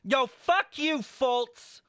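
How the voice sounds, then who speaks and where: A woman's voice: sits high, drawn-out words, a bit bright, smooth, dry recording. A woman streaming games, giving casual, profane, blunt reaction commentary with exaggerated outrage. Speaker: game streamer.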